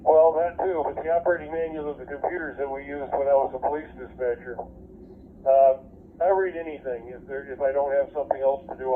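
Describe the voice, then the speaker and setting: Older American male, retired, casual and rambling radio chatter, slightly high voice, thin telephone-like sound. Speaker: retired police dispatcher, amateur radio operator. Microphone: two-way amateur radio. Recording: narrow, phone-like radio link with static noise.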